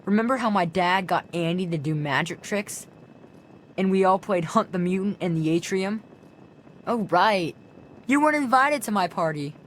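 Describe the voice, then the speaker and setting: A woman's voice: medium pitch, lively intonation, normal speed, close to an everyday voice. Game role: woman voicing snide boy sidekick, grudging and boastful, mocking delivery, faint noise behind. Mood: smug, mocking. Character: smug, snide boy, a young bully's sidekick. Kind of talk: video game acting.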